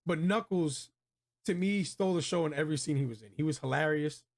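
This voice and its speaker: Enthusiastic higher-pitched American guy, slangy chat. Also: a little fast. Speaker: host of a movie review show. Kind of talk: casual chat, film review.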